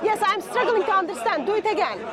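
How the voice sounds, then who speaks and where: A woman's voice: very high-pitched, lively intonation, quick pace. A woman with a Turkish accent debating in public, insistent and questioning, recorded outdoors. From Turkey. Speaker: street debater. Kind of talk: open-air public debate. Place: outdoors at a busy public speakers' corner. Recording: outdoor public recording, loud crowd chatter. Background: loud crowd.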